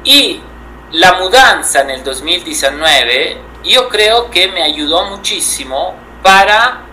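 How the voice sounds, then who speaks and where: A man's voice: high-pitched, animated, slow pace, bright, forceful tone, slight background noise, rough recording. A man in his thirties from Italy, speaking Spanish with an Italian accent, answering interview questions thoughtfully with frequent hesitations. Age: 30s. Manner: thoughtful, hesitant, many fillers and restarts. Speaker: guest photographer.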